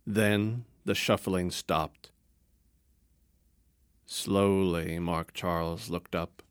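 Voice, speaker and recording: Low-voiced man reading a story. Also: steady tone, drawn-out words, clean tone, no echo, studio quality. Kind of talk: scripted story narration.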